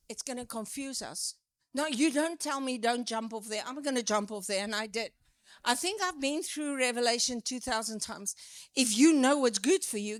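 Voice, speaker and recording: A woman with a South African accent, preaching a sermon in an animated, emphatic way with drawn-out stresses. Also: a little high, dry recording, clean studio sound. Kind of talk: sermon teaching.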